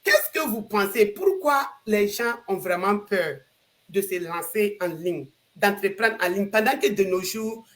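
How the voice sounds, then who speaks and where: A woman hosting a casual live stream, talking expressively with swings in loudness and frequent repeats. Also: medium pitch, lively intonation, unhurried.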